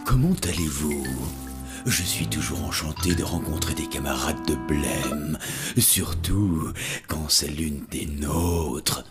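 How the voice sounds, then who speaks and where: A ghoulish butler in a children's cartoon. The voice is extremely low and very slow, formal and solemn, with loud music behind. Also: male voice. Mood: solemn, courteous. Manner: formal, ponderous. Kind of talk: French children's cartoon acting.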